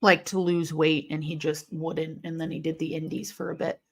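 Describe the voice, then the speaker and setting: A woman from Illinois hosting a live wrestling watch-along, chatting casually and rambling, with intense, sharp S sounds left from a lisp. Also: sits low, little pitch movement, normal speed. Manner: chatty and rambling, heavy use of "like". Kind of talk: casual live-stream chat reading comments.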